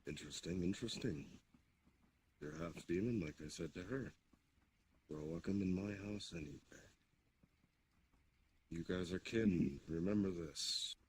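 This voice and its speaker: A man improvising in roleplay as a commanding, hospitable demon king, speaking in a deliberate, formal way. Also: low-pitched, poor audio. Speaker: roleplayer leading an improvised scene. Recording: noticeable background noise on mic.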